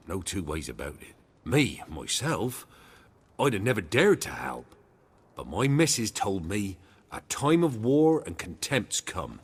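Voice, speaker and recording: An actor playing a humble, fearful peasant in a video game, earnest and deferential, telling things in rustic dialect. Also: male voice, deep voice, breathy edge, exaggerated voice. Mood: earnest, grave. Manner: rustic dialect grammar, deferential, dramatic storytelling.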